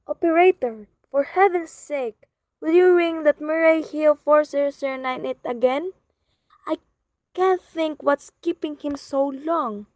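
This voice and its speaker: High-voiced, nervous, demanding bedridden woman, acted out by a woman. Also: unhurried, a little mellow, no echo. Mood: irritated, urgent. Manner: agitated, imperious, complaining. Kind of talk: melodramatic radio play acting.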